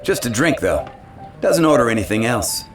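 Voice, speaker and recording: A courteous bartender played by a man speaks drily at a middling pitch. It is video game dialogue, echoey, with music playing behind. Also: very animated, normal speed, close to an everyday voice. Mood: courteous, dry. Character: dry, courteous male tavern bartender.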